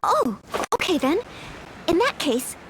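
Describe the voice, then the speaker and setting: An actress playing a polite, eager young maid who dreams of knighthood in a video game, speaking with apologetic hesitance. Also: female voice, extremely high pitch, big pitch swings, unhurried, noisy background, exaggerated voice. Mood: surprised, obliging.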